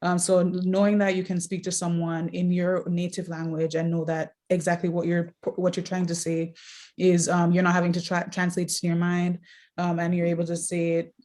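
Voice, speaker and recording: A woman's voice: on the low side, monotone, moderate pace, a little mellow. A young woman from Jamaica giving casual, reflective interview answers, hesitantly thinking as she goes. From Jamaica, later Mexico. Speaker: interview guest, social worker.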